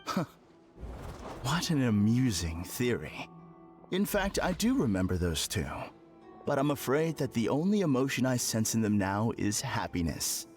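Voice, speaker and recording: Smug, condescending game character, male actor, theatrical delivery with animated pitch, music underneath. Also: natural voice. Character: smug, deluded male patient insisting he is perfect. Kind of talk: video game voice acting.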